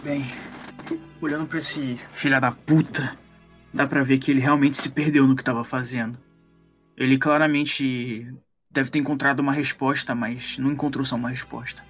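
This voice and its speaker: A man's voice: medium pitch, level tone, normal speed, fairly bright tone, faint music behind, lightly acted. A Brazilian man playing a world-weary, grim occult detective in a tabletop role-play, speaking in a terse, ominous way. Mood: brooding.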